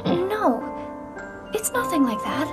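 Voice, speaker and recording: A woman's voice: very high voice, very animated, slow pace, loud music behind the voice, heavily acted. An actress playing a reserved, melancholy young girl in a video game, with a subdued, hesitant way of talking.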